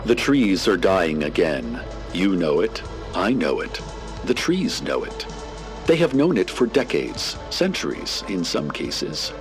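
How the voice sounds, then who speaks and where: Ominous small-town radio host: very low voice, sweeping intonation, portentous, poetic drama narration. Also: male voice, very animated, over music, exaggerated voice. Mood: ominous, solemn. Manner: portentous, deliberate, theatrical.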